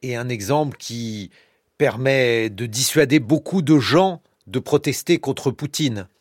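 A man's voice: medium pitch, measured pace, no room sound. Man, radio interviewer, fluent, articulate, long questions, dry studio sound. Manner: articulate, reads quotes aloud fluently.

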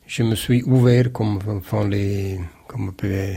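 A man from Naples whose first language is Neapolitan, speaking with an Italian accent as a writer in an interview, halting and searching for words with many repeated starts. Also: lowish voice, steady tone, gravelly, dark tone, breathy edge. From Naples, Italy. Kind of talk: reflective interview answer.

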